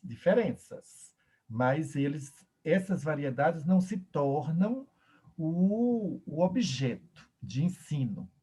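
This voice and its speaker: A man's voice: a little high, darkish tone, husky. A man, a professor moderating an online discussion over a video call, speaking reflectively with hesitations and repeated words.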